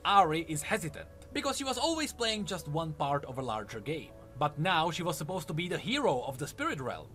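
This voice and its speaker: A man's voice: high voice, sharp tone. A man delivering scripted narration as the narrator of a lore video.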